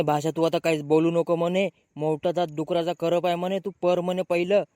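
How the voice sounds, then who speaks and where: A man's voice: fairly high pitch, darkish tone, smooth, no echo, studio quality, lightly acted. A man voicing a young village lad in a comedy cartoon, telling silly mishaps in an earthy rural Maharashtrian accent.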